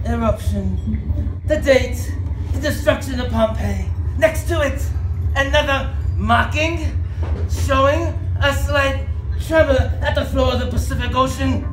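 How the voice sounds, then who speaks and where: A grandiose, obsessive scientist played by a woman on stage, high in pitch and slow, drawing out each pronouncement with theatrical self-importance. The voice rings in an echoey theatre. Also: background noise. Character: grandiose, obsessive scientist professor revelling in discovery. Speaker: stage actor. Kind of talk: stage adaptation of a 1950s radio sci-fi play.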